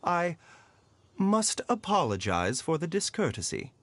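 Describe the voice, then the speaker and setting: A man voicing a composed, courteous pharmacist in a video game, polite, measured and formal in his phrasing. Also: a little high, huge pitch swings, background noise, heavily acted.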